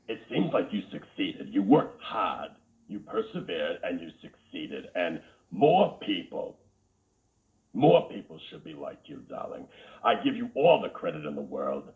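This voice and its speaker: A man's voice: low-pitched, heavily acted. A man playing a flamboyant, theatrical male talk-show host with a Boston accent, gushing and calling everyone darling. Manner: gushing, calls everyone darling, camp asides. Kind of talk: comedic character interview. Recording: tinny phone-like line.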